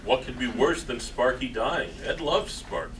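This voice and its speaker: A man's voice: mid-range voice, moderate pace, close to an everyday voice. A man playing a jokey coffee shop worker in his twenties at a comedy table read. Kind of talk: comedy table read before a live audience. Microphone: far camera. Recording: distant stage recording with some noise.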